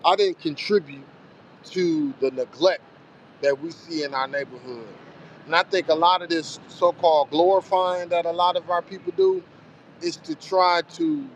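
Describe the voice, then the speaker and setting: A man's voice: fairly high pitch, slight background noise, rough recording. A man in his forties talking casually in a loose, reflective monologue, often saying "you know what I'm saying". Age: 40s. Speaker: vlogger.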